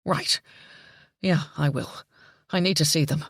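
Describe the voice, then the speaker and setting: A woman reading a panicked father, giving him an urgent, shaky, lowered voice. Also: unhurried, slightly rough, no echo, studio quality, exaggerated voice. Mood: shaken. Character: frantic grown man, a panicked father.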